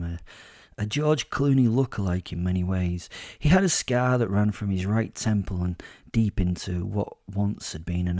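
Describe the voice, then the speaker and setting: Male narrator, wry werewolf storyteller for audio drama. Mellow, lowish voice, measured and pause-heavy, dry recording. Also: no echo. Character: werewolf telling his life story, wry and boastful. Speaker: narrator and host of a fiction podcast.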